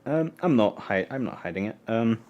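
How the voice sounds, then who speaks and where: A man's voice: lowish voice, expressive, moderate pace, lo-fi sound. A man, talking casually to his stream audience at a home workbench. He trails off, repeats words and mutters as he works on the parts. Born in Poland but raised in England, he keeps an easygoing, unscripted tone. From born in Poland, raised mostly in England. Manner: hesitant, restarts often, murmurs to himself while tinkering. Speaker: electronics livestream host. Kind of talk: casual live stream chat while taking apart a camera.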